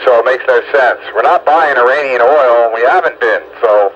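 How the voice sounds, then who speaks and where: A man's voice: mid-range voice, moderate pace, lo-fi sound. A man hosting a casual radio chat, talking informally over a two-way radio link. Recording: noisy band-limited two-way radio.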